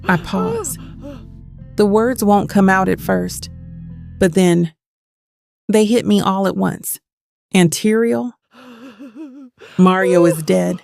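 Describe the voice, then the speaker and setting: Woman acting heartbroken young woman, audio drama narration, slow halting delivery, creaky voice, faint music underneath. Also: mid-range voice, darkish tone. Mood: tearful grief.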